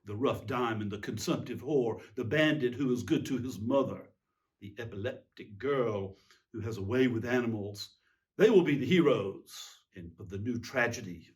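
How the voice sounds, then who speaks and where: A man's voice: mid-range voice, animated, moderate pace, darkish tone, natural voice. A man playing a weary, self-pitying tyrant king in a theatrical monologue, fussy, pompous and plaintive. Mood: scornful. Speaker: reader giving a dramatic reading. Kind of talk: theatrical dramatic monologue reading.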